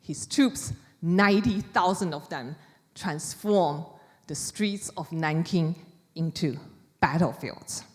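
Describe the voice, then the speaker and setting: A woman with a Chinese accent delivers a prepared author's lecture in a fairly low voice. She moves slowly and deliberately through short, measured phrases. Her words ring with the long reverb of a hall. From China. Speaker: author giving a book talk.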